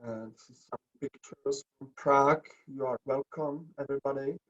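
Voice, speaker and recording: A man from the Czech Republic with a Czech accent, presenting slides in a webinar in halting English full of pauses and restarts. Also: medium pitch, steady tone, dull audio. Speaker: webinar presenter, nursing educator. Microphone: video call.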